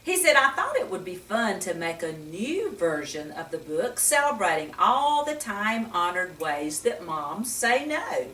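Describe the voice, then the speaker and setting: An older woman hosts a children's storytime with an unhurried, very expressive delivery. She speaks like a gentle teacher, often putting questions to her young listeners. The sound is echoey and picked up from some distance. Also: mid-range voice, a little hiss or hum. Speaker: children's story reader and host. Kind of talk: storytime talk to young children with Bible lesson. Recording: roomy echo, distant microphone.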